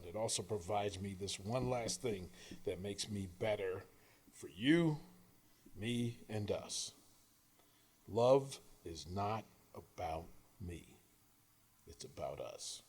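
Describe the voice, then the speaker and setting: A man reading scripted inspirational prose in an earnest, emphatic way, with deliberate phrasing and dramatic pauses. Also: on the low side, a little mellow, husky. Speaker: author reading own work; coach and radio host.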